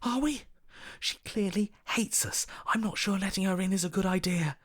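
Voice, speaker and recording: An actor playing a paranoid, jumpy inner voice in a video game, speaking in a frantic, anxious and agitated way. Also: male voice, high voice, moderate pace. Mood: nervous. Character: panicky, paranoid male inner voice, jumpy and pessimistic.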